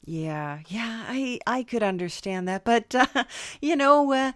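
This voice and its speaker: Female podcast host, unhurried and hesitant, sympathetic, playful interview style, very dry recording. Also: on the high side, lively intonation, fairly bright tone, no echo. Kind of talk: casual, playful comedy interview.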